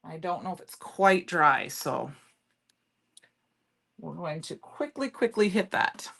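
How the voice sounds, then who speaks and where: Loud chatty woman hosting a craft livestream. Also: lowish voice, animated, slow, creaky, breathy edge. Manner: chatty, rambling, answers viewer comments. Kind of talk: live crafting demo and chat with viewers.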